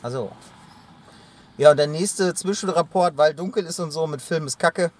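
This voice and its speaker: A man's voice: a little high, moderate pace. A man in his thirties chatting and joking to a handheld camera on a road trip, partly in a car, partly outdoors. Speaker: vlogger. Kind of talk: casual travel vlog chat. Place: inside a car and outdoors on a parking lot. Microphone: handheld action camera. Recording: noisy car and outdoor recording. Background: car and road noise.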